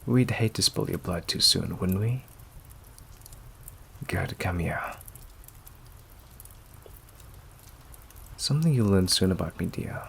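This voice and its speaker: Man acting a deep, gravelly, possessive vampire. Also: a little hiss or hum. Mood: commanding, pleased. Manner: slow, silky, often breathy near-whisper, condescending endearments. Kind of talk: ASMR roleplay acting. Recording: steady rain ambience behind the voice. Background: rain.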